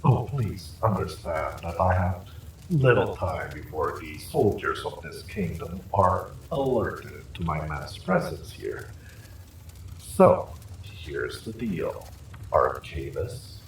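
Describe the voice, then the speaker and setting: A man's voice: extremely low pitch, lively intonation, slow pace, a little hiss or hum, full character voice, rough recording. A man playing an evil sorcerer in an audio roleplay, smug and silkily menacing, with a mock-polite, gloating delivery. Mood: polite menace. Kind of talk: dramatic villain monologue, audio roleplay.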